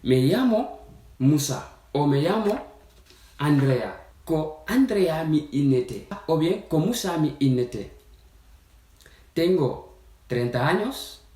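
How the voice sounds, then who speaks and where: Slow-speaking man teaching, echoey room. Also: fairly high pitch, warm tone. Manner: deliberate, repeats phrases. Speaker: language teacher. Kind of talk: language lesson, phrase by phrase with repetition. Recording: roomy, echoing sound.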